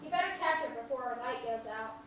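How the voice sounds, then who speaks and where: A woman's voice: fairly high pitch, brisk, natural voice. A woman playing a fanciful, teasing young friend with a folksy way of talking in a staged play reading. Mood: wistful, teasing. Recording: echoey room, distant, faint noise.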